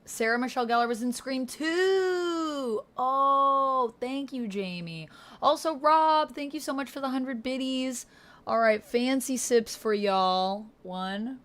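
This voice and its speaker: A woman's voice: high voice, slow pace, a bit bright. A woman hosting a roleplaying game livestream, chatting informally and thinking aloud as she types. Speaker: streamer hosting a solo actual-play roleplaying game. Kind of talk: casual livestream chat, reading out typed roleplay. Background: computer keyboard typing.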